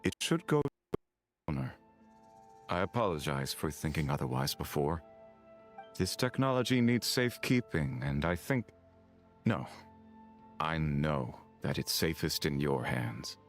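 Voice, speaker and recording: Solemn, extremely deep-voiced courteous man. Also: sing-song, creaky, soft background music, exaggerated voice. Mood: earnest, apologetic. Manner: formal, earnest, measured. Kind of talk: video game voice acting.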